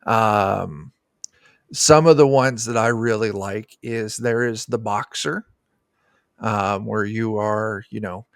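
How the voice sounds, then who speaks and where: A man's voice: on the low side, slow, creaky, smooth, dry recording, clean studio sound. A middle-aged man chatting informally and enthusiastically as a guest game designer, explaining things in a rambling way. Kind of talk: casual explanatory chat about a tabletop game. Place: indoor room on a video call.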